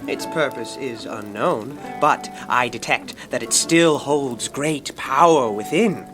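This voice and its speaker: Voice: mid-range voice, slow pace, over music, close to an everyday voice. An actor playing a pedantic, scholarly mage in a fantasy audio drama, pompous and precise. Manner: pompous, precise, alliterative flourishes.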